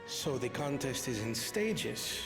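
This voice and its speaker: A man's voice: medium pitch, big pitch swings, takes it slowly. Analytical male ally, fantasy game: sober delivery, middle register, roomy reverb. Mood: analytical, matter-of-fact. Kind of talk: scripted video game voice acting. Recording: echoey room with faint music.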